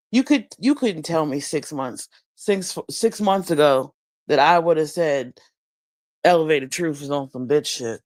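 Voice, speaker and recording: Slow, blunt woman musing late at night. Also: medium pitch, no echo. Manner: blunt, profane, slow and musing with frequent restarts. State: high. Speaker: livestream host. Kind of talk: late-night casual commentary. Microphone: streaming mic.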